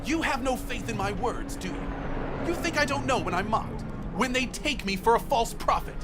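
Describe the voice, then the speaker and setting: An actor playing a fanatical, paranoid street prophet in a video game, preaching conspiracy theories with theatrical, defiant grandeur. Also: male voice, very high-pitched, expressive, normal speed, over music. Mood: wounded, brooding.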